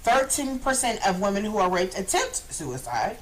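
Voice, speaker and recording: A woman's voice: mid-range voice, very animated, normal speed, slight background noise, poor audio. A middle-aged woman hosting a radio talk show, speaking earnestly and with emphasis in a small studio. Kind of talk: casual talk show chat. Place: small studio lounge, indoors.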